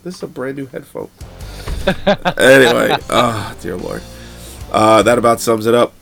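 A man's voice: medium pitch, moderate pace, creaky, a bit bright, faint music behind. A man who is tired and under the weather, co-hosting a podcast in casual, profane chat. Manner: profane, informal. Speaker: podcast co-host.

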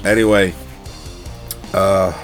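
Low-voiced man co-hosting a podcast. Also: flat delivery, takes it slowly, music in the background. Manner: profane, informal. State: under the weather, tired. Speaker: podcast co-host. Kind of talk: casual podcast chat.

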